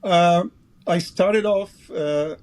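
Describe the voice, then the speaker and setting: A man in his sixties from Guyana, answering interview questions at an unhurried pace with many pauses. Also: high voice. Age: 60s. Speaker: guest, pickleball referee director.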